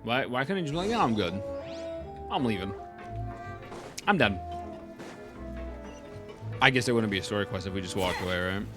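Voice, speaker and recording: A man's voice: lowish voice, expressive, moderate pace, long pauses. A man doing casual, slangy game reaction commentary as a streamer, often repeating phrases in disbelief. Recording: game music behind.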